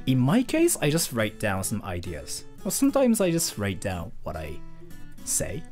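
A young man chatting casually on a livestream in a small bedroom, swinging from loud to muttering. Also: medium pitch, huge pitch swings, moderate pace, soft background music. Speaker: streamer.

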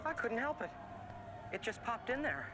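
A man's voice: very high voice, very animated, moderate pace. A man playing an earnest, panicky ghost hunter in a supernatural comedy film, agitated and stammering. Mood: panicked. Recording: loud film music over dialogue.

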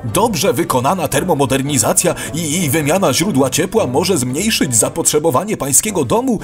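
Man acting an animated, exasperated helpful neighbour. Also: a little high, normal speed, music in the background, lightly acted. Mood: persuasive. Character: patient but exasperated tidy neighbour, persuasive and practical. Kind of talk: scripted radio play dialogue.

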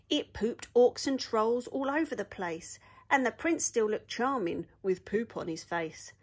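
A woman reading a children's picture book aloud with expressive, sing-song rhyming delivery. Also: mid-range voice.

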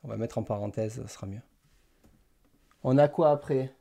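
Creaky-voiced man chatting on a live stream. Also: medium pitch, moderate pace, warm tone. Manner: hesitant, thinking aloud while typing. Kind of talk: casual live stream chat. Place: small indoor room lined with bookshelves.

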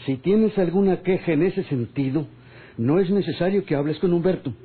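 A middle-aged hacienda boss in an old radio drama. He is measured and commanding, with a rasp in a fairly high male voice. The sound is thin, like a phone line. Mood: stern, controlled. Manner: authoritative, measured. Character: stern, commanding master of a Mexican hacienda, a father.

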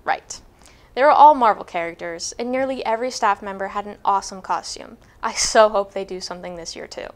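A woman's voice: mid-range voice, brisk. A teenage girl anchoring a school news show, reading items and chatting with her co-anchor. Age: teens. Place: school studio desk.

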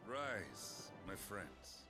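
A man's voice: on the low side, big pitch swings, very slow, pushed and bright, faint music behind, full character voice. A man playing a stately, commanding ruler and father, speaking formally in a fantasy video game cutscene. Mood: benevolent.

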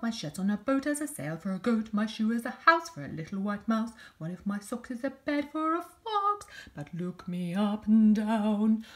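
A woman voicing a cheerful, kind-hearted male giant in a storybook reading, with a bright, sing-song delivery pitched above her own voice. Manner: bright, sing-song, louder and higher than her narration. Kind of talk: storybook character voice.

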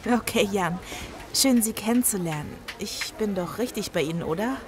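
A woman's voice: mid-range voice, expressive, moderate pace, music in the background, close to an everyday voice. A woman playing an anxious, polite newcomer doctor in an audio drama. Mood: polite, nervous. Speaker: voice actor.

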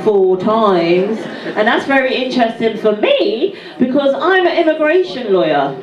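A woman's voice: on the high side, sing-song, measured pace, very noisy. A young woman from Barbados with a British accent, doing a stand-up set on a small club stage into a handheld mic, with a punchy delivery and teasing the crowd. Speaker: stand-up comedian. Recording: roomy club sound, far and dull. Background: loud crowd laughter.

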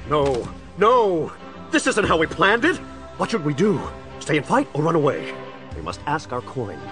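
An actor playing an obsessive, unhinged crime boss with a split personality, frantic and menacing, in an audio drama. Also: male voice, on the high side, sing-song, moderate pace, fairly bright tone, faint music behind. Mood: frantic panic. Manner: frantic, menacing, calls himself "we".

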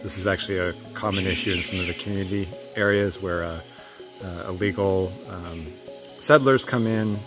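A man's voice: low voice, fairly even intonation, moderate pace, over music. A middle-aged man reporting to camera from a flooded rainforest, speaking matter-of-factly with many fillers, recorded on a handheld phone outdoors. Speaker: conservation journalist. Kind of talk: on-location field report to camera. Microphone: handheld phone selfie framing.

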